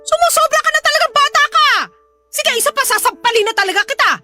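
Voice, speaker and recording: A nagging, hot-tempered Filipino mother in an audio melodrama. Her voice is extremely high and piercingly bright. She scolds and threatens in exclamations, over a light bed of music. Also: expressive, quick pace, heavily acted. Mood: angry, scolding.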